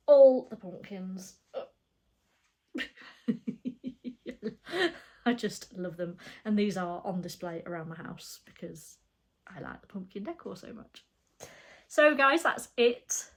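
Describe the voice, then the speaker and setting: Woman, thirties, stuffy with a cold, rambling casual vlog chat, soft-spoken, fillers. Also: mid-range voice. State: bunged up with a cold. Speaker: flosstube vlogger. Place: her home.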